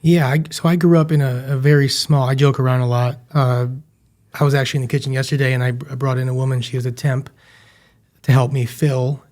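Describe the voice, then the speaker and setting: A man's voice: medium pitch, flat delivery, fairly quick, a little mellow. A man in his thirties from western New York, a chef telling stories in a chatty, self-deprecating way as a podcast guest. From western New York, USA. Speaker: guest, chef and business founder. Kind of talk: casual interview chat.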